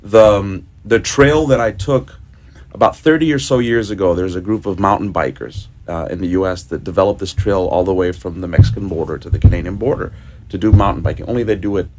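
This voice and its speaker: A man from Ecuador, a guest on a radio show, telling his story in a relaxed, casual way. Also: sits low, brisk. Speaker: guest motorcyclist.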